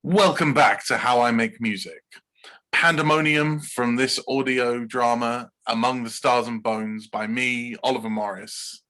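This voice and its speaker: Bright-voiced English man reading podcast script. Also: medium pitch, steady tone, a little slow, poor audio. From Bedfordshire, England. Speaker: podcast guest, composer and podcaster. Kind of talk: scripted podcast narration, read in takes.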